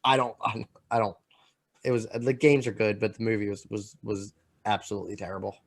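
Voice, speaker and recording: A man co-hosting a podcast in casual, opinionated chat, halting and repeating himself with lots of filler. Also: lowish voice, flat delivery, creaky. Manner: halting, repetitive, peppered with "like". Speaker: podcast co-host.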